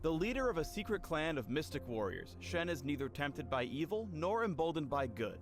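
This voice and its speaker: A man's voice: fairly high pitch, very animated, moderate pace. A man narrating a game character spotlight in a scripted, promotional style. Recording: loud music behind the voice-over.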